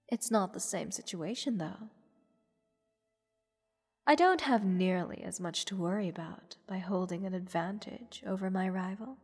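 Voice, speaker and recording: Woman doing a playful condescending goddess for fantasy audio roleplay, soft husky voice, big long reverb, music behind. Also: mid-range voice. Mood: amused, challenging. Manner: teasing, condescending, theatrical, measured. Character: haughty, playful, amused goddess holding a mortal captive. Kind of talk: fantasy audio roleplay, intimate scripted monologue. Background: orchestral music.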